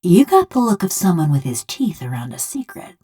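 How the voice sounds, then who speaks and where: A woman voicing a bitter, sardonic construct woman in a video game, a rough rural killer who talks in folksy, ungrammatical, taunting speech. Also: fairly low pitch, sing-song, brisk, dark tone. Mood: dry, wry. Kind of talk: video game character dialogue.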